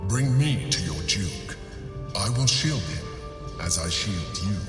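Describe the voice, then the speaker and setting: A man voicing a calm, calculating inhuman protector in a game, speaking in a measured, formal way. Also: sits low. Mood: calm, commanding. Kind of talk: video game voice acting. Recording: distant, long echoing reverb, loud music.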